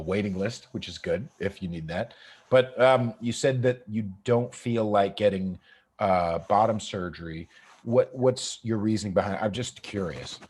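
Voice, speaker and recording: Husky-voiced man hosting a podcast. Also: fairly low pitch, a little mellow. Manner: chatty, laughs often, swears casually. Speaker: podcast host. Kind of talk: casual interview chat.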